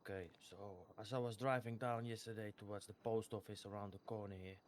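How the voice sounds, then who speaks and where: A man's voice: fairly low pitch, flat delivery, natural voice. A man voicing a hapless civilian with a foreign accent who gives a rambling, stammering statement in an online roleplay game. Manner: halting and rambling, full of stammered repeats. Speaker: witness giving a statement.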